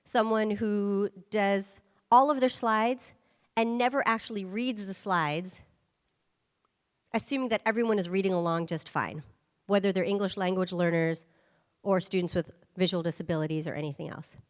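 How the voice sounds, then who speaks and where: Woman speaker, mid-pitched and emphatic, giving a talk in a reverberant hall. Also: moderate pace. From United States. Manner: emphatic, with rhetorical "right?" check-ins. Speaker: guest speaker. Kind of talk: conference presentation.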